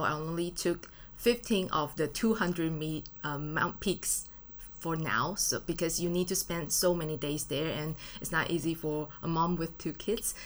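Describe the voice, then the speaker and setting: Low-voiced woman. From Taiwan. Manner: friendly, casual, uses fillers and non-native grammar. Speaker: guest. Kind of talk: studio interview answers. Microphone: studio mic.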